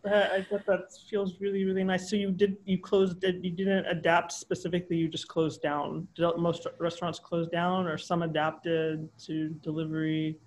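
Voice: mid-range voice, flat delivery, normal speed, hardly any pauses, slight background noise. An interviewer asking hesitant, encouraging questions in a conversational way.

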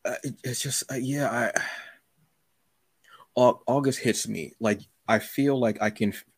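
A man's voice: mid-range voice, unhurried, slightly rough, dry recording. A man hosting a casual, conversational interview, often restarting his phrases and acting out little asides. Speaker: podcast host.

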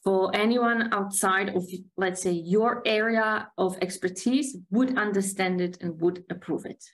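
A woman co-hosting a casual work podcast, emphatic and quick to laugh. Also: medium pitch. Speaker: podcast co-host. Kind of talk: casual chat.

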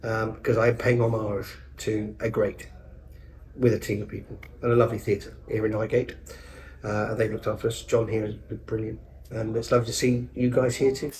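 A man's voice: lowish voice, little pitch movement, moderate pace, darkish tone, reverberant, low-quality recording. A man, a stage actor being interviewed, talking effusively. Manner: effusive, enthusiastic.

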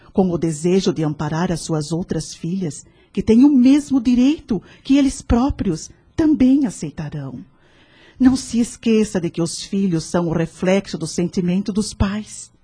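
An actress playing a wise, serene woman who gives kindly spiritual counsel in a radio drama, speaking in a measured, earnest, preachy way. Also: lowish voice, dark tone, slightly rough, no echo. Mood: earnest, soothing.

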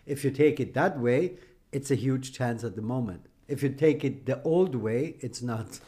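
A man's voice: medium pitch, moderate pace, warm tone. A middle-aged man from Iran, English speaker, giving emphatic, opinionated answers as a podcast guest and often ending with a questioning "no?". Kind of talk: podcast interview.

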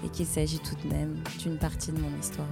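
A woman in her thirties hosting a podcast, speaking in an intimate, earnest and deliberate personal monologue. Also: deep voice, monotone, vocal fry, over loud music. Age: 30s. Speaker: podcast host.

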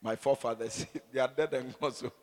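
A man with a Ghanaian accent and a raspy voice, preaching in an animated, conversational way full of rhetorical questions and repeated phrases. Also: mid-range voice, brisk. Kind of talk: sermon with conversational, opinionated asides. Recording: echoey hall with long reverb.

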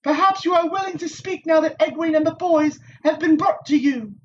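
A man playing a calm, commanding sorceress. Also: extremely high pitch, highs cut off, voice pushed up. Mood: composed. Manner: quiet, measured.

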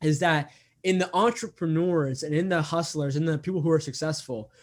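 Young dude in his 20s, earnest, kinda high voice, repeats stuff a lot. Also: fairly quick. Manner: earnest, repeats himself, many fillers. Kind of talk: casual interview talk.

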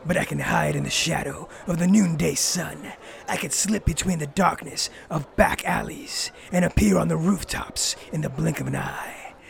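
A man playing a self-styled dark vigilante in a comedic fantasy audio drama, grandiose and absurdly earnest, with a gravelly, often whispery voice and a pompous, portentous delivery. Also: a little high. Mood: boastful, ominous. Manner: slow, pompous, theatrically portentous.

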